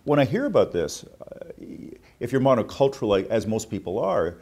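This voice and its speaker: A man's voice: medium pitch, big pitch swings, normal speed, creaky, darkish tone, slightly rough. A man in his fifties hosting a management interview, introducing his guest and asking questions politely. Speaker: interview host. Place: indoor office.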